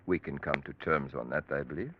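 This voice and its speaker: An actor playing a cold, calculating crime-drama surgeon in 1940s radio style, speaking formally and precisely with unruffled menace. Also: male voice, very deep voice, a little fast, noisy background. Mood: clinical, insistent. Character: cold, calculating male surgeon extorting his criminal patients. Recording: muffled vintage radio drama recording.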